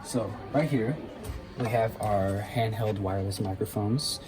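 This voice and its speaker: A young man in his late teens with a deep voice, casually explaining sound equipment in a theater booth. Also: unhurried, over loud music. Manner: relaxed, chatty explaining. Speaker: student sound engineer.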